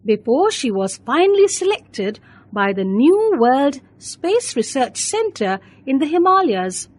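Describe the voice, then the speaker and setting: A woman narrating a scripted children's bedtime story in an expressive, deliberate storytelling manner. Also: high-pitched.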